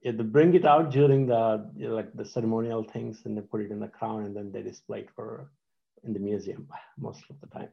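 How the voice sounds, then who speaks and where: Male guest from India, gravelly, halting answers, dull lo-fi line. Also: lowish voice, steady tone. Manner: hesitant, many fillers, soft trailing endings. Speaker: guest, company founder. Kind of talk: casual interview answers. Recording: dull lo-fi sound.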